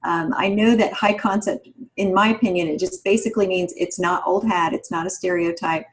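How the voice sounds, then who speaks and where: A woman's voice: on the low side, fairly even intonation, a little fast. A woman co-hosting a podcast, speaking in a casual conversational style. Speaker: podcast co-host.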